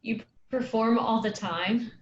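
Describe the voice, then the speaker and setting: High-voiced blunt troupe woman played by an actress, echoey. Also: steady tone, takes it slowly. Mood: conciliatory. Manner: blunt, sceptical. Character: distrustful, blunt young woman in travelling troupe. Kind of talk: staged play reading. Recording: echoey, muffled, hissy room recording.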